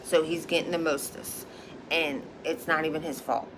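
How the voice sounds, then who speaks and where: Low-voiced Philadelphia woman, emphatic. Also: fairly even intonation, normal speed, a bit bright, a little hiss or hum, poor audio. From Philadelphia, United States. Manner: emphatic, repeats points, frequent "okay?". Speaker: high priestess and psychic. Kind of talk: casual vlog monologue.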